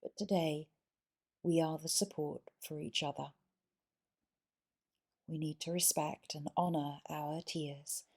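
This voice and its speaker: A woman leading a guided yoga nidra meditation in a soothing, measured way, pausing between short phrases. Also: sits low, a little mellow.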